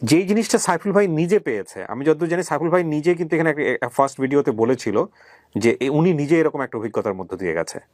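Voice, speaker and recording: A man's voice: a little high, expressive, fast talker, a little mellow, no room sound, clean studio sound. A middle-aged Bangladeshi man hosting an interview, speaking emphatically to guests and viewers and mixing in English words. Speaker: interview host. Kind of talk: conversational interviewing and addressing viewers.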